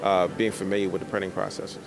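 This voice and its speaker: A man's voice: deep voice, flat delivery, fast, vocal fry. A middle-aged man, an artist, answering interview questions conversationally into a handheld microphone. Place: indoor art expo in a convention center. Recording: busy exhibition hall crowd behind. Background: crowd noise.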